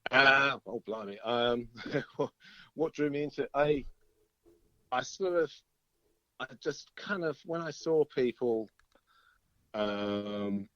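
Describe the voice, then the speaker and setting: A man's voice: medium pitch, takes it slowly, pauses often, sharp tone, no room sound, muffled recording. A middle-aged British man, a musician chatting casually in an interview, rambling through stories in a chatty way. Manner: chatty, rambling storyteller, often chuckling.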